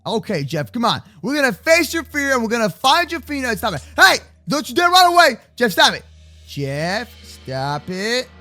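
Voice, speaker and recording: A young adult man improvising kids' Minecraft roleplay commentary in an excitable, slangy way, often repeating his words. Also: very high-pitched, normal speed, faint music behind. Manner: slangy, addresses partner as bro and man. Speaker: gaming video host and roleplayer.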